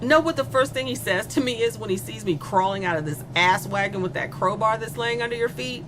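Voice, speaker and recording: A woman's voice: on the high side, fairly quick, over music, close to an everyday voice, poor audio. A woman playing a spoiled, bored young female killer in a horror audio drama, confessing with sardonic, casually menacing glee. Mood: gloating, chatty.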